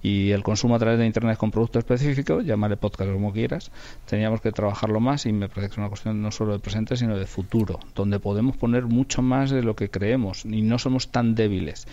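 Deep-voiced man from a muffled interview, Spanish accent. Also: steady tone, quick pace, warm tone. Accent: Spain. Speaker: interview guest, radio executive. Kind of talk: thoughtful spoken interview answers. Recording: dull, muffled lo-fi sound.

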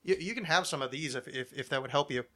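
A man's voice: on the high side, fast talker, a bit bright. A man in his thirties chatting casually and ramblingly as a podcast host, with a wry, mock-dramatic, self-deprecating manner.

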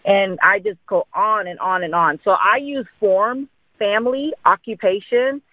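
A woman explains things informally as a sales trainer, often tagging on little check-ins. Her pitch sits on the low side for a listener expecting a light voice, and it comes through a thin, phone-like line. The sound is dry and close, with no room around it. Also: measured pace, dry recording. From born in India, grew up in Dallas, Texas. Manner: explanatory, with frequent check-in tags like "right?" and "okay?". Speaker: insurance agent giving sales training. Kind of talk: informal instructional talk.

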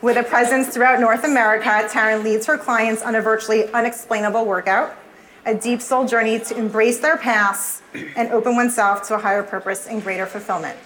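A woman's voice: on the high side, steady tone, fairly quick, fairly bright tone, a little hiss or hum, rough recording. A woman hosting a live event interview, speaking earnestly and addressing the crowd. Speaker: host. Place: event space before a live audience.

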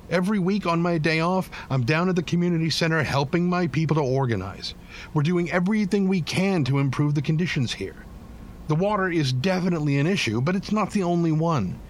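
A man playing a weary, bitter but devoted working-class widowed father in an audio drama, plain-spoken and halting. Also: a little high, slight background noise, lightly acted. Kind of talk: naturalistic audio drama acting.

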